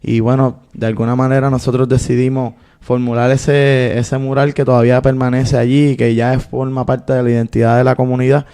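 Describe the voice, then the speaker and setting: Gravelly, dark-voiced Puerto Rican man. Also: medium pitch, little pitch movement. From Puerto Rico. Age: 30s. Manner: earnest, unhurried, many fillers. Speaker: guest, activist and filmmaker. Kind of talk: interview storytelling.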